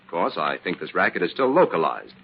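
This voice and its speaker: An actor playing a calm, shrewd scientific detective in an old-time radio drama, measured and assured as he explains. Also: low-pitched, vocal fry, dull audio, slight background noise, heavily acted. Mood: assured, explanatory.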